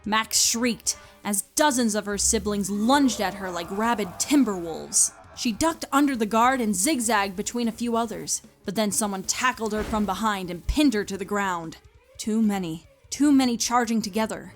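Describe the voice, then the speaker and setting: A woman giving a scripted, expressive and deliberate dramatic narration of a story. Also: mid-range voice, faint music behind.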